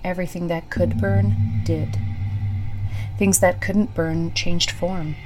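A woman playing a weary, practical survivor who records a memoir of a burned world in a solemn, measured monologue. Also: on the low side, flat delivery, music in the background, poor audio. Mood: grave. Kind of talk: scripted audio drama monologue.

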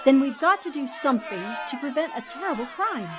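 A woman's voice: sits high, animated, a little fast, shouting. An actress playing an urgent, resolute newspaperwoman in a 1940s-style radio drama. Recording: noisy crowd sound effects behind.

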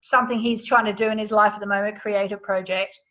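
A woman's voice: mid-range voice, steady tone, fast talker, highs cut off. A woman speaking as an interview guest, explaining things in a casual, informal, conversational way. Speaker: astrology author, interview guest.

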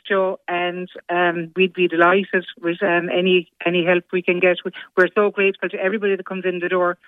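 A woman's voice: on the low side, dry recording. Delighted Irish woman on a tinny phone line. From Ireland. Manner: grateful, enthusiastic, keeps saying delighted. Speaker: salon owner, guest. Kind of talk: phone interview.

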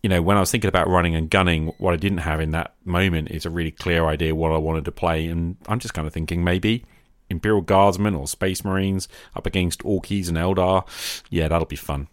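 A man's voice: sits low, fairly even intonation, fairly quick, no echo, clean studio sound. A man speaking as a podcast host about tabletop roleplaying, addressing the listener directly and encouragingly.

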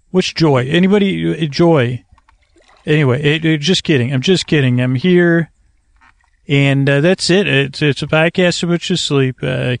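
A man hosting a bedtime podcast in a hesitant, meandering, rambling monologue with stammered repetitions and a creaky voice. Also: mid-range voice, no room sound. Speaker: podcast host.

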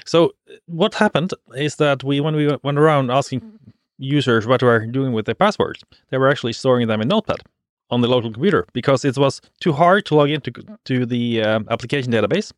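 A man's voice: mid-range voice, dry recording, studio quality. A man from Norway, a podcast guest, explaining a work story with many halts and restarts. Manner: halting, with many restarts and fillers. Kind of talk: casual podcast chat.

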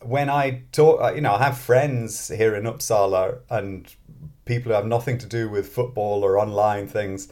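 A man's voice: on the low side, steady tone, moderate pace, a little mellow. A man with a British accent, talking casually as an interview guest and thinking aloud in a self-deprecating way. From Britain, grew up in Scotland. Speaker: interview guest, mathematics professor and author.

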